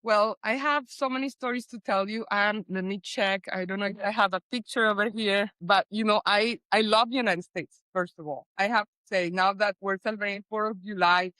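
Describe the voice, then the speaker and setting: Bright, clear Colombian-accented woman guest. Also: medium pitch, steady tone, moderate pace, few pauses, dry recording. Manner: rambling, hesitant, many fillers and restarts. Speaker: guest, business founder. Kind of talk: casual interview chat.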